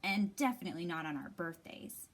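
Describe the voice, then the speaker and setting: A woman's voice: on the low side, animated, fairly quick, pitched below the performer's own voice. A woman reading as a proud, tree-climbing fisher animal from a picture book, with playful, emphatic insistence. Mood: playfully insistent.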